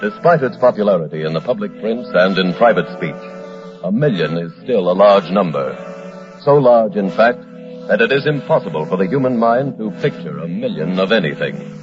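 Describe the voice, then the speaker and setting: A man with an American accent reading scripted narration in a formal, measured, grave 1940s radio style, on an old broadcast recording. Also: lowish voice, animated. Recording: dull old radio recording, music behind.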